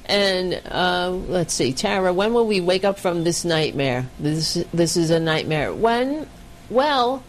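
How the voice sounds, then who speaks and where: A woman's voice: fairly low pitch, slight background noise, low-quality recording. A woman hosting a casual livestream chat over a video call, blunt and chatty, swearing freely. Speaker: podcast host.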